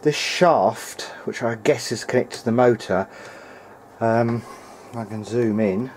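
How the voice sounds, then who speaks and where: A man's voice: mid-range voice, slow pace, slight background noise. A man thinking aloud in a hesitant way as he gives casual hands-on repair commentary at an indoor workbench. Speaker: hobbyist repairer presenting a video diary.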